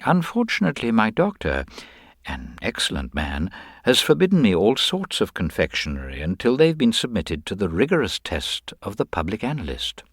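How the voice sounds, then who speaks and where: A man's voice: fairly low pitch, huge pitch swings, normal speed, breathy edge, no echo, studio quality, exaggerated voice. A man voicing a mild, courteous government investigator in a radio drama, speaking politely, precisely and with some hesitation. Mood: gently regretful. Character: mild, diffident, courteous middle-aged male government investigator.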